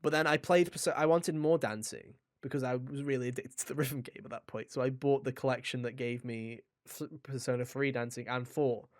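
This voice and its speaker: Young male podcast host, opinionated and chatty, mid-pitched, recorded close and dry. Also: brisk, hardly any pauses, dry recording. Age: young adult. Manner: opinionated, blunt, swears; rambling, anecdotal storytelling. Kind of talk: casual gaming podcast chat.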